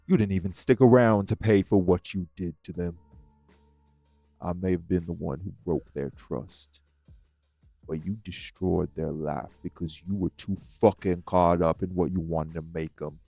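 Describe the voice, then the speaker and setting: A man playing a bitter, guilt-worn vampire sire in an audio drama, with a gravelly voice and a deliberate, emphatic, accusing delivery. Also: deep voice, fairly even intonation, dark tone, no room sound, faint music behind, full character voice. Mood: furious. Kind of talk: dramatic audio roleplay acting.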